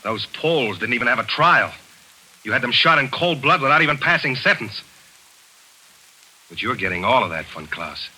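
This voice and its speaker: A man's voice: medium pitch, sing-song, moderate pace, pauses often, sharp tone, husky, heavily acted, poor audio. An actor playing a hard-nosed man who questions and sentences a war criminal, in clipped 1940s radio acting. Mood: grim, relentless. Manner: tough, clipped, relentless questioning. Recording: old radio broadcast recording.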